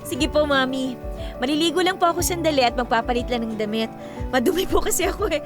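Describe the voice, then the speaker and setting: Radio drama: woman playing affectionate, excitable young woman, very high-pitched, playful, with music bed. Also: fast talker, natural voice. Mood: excited, affectionate. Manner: exclamatory, playful. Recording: clear music under the voice.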